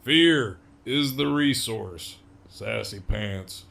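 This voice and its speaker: A man acting a slow, gravelly, cocky captive villain. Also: mid-range voice, lively intonation, bright, forceful tone, full character voice. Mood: sly, amused. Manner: swaggering, foul-mouthed, drawling. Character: cocky, menacing, wryly amused imprisoned gang leader, adult man. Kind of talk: audio drama acting.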